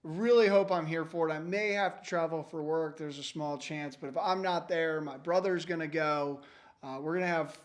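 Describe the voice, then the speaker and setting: A man's voice: fairly high pitch, moderate pace. A man hosting a local podcast, reading an upbeat intro and then chatting casually with his co-host. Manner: upbeat scripted intro, then relaxed chat.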